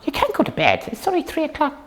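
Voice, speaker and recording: A woman's voice: high voice, wildly swooping pitch, quick pace, a little hiss or hum. A woman presenting a puppet show in casual comic chat, explaining things in a rambling, hesitant way.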